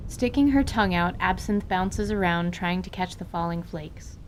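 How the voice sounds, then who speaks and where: A woman's voice: lowish voice, moderate pace, a little hiss or hum, poor audio. A woman reading scripted story narration as the narrator of an audio drama. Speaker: narrator and voice actor.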